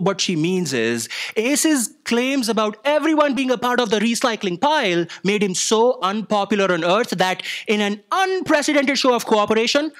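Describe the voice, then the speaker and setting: A man's voice: sits high, fairly bright tone. An actor playing a flustered, deferential older male assistant, hesitant and stammering, in a staged audio drama. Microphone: stand microphone on stage.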